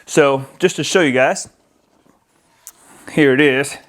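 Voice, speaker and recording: A man's voice: mid-range voice, slow, a bit bright. Casual American man presenting a product. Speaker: audio-video installer presenting a product. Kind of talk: casual product unboxing and demonstration. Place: indoors in a house, near a window.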